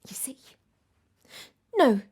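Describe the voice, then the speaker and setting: A woman's voice: very slow, darkish tone. A woman acting in an audio play as a headstrong, defiant teenage daughter, arguing emphatically. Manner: argumentative, emphatic.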